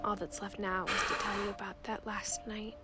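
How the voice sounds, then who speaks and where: A woman plays a wistful teenage girl narrating a story game. Her delivery is soft, measured and reflective, at a middle pitch, with music running behind it. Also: little pitch movement, lightly acted. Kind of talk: scripted first-person game narration.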